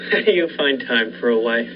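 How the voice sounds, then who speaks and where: A young man playing a cautious, morally troubled surgeon in a 1940s-style radio thriller, hesitant and measured. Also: medium pitch. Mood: friendly. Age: young adult. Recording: dull lo-fi recording with faint noise.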